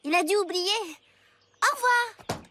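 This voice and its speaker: A woman voicing a determined, scheming schoolgirl in a cartoon, earnest and quick with excuses. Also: very high-pitched, animated, background noise. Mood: feigned innocence. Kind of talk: French animated series dubbing.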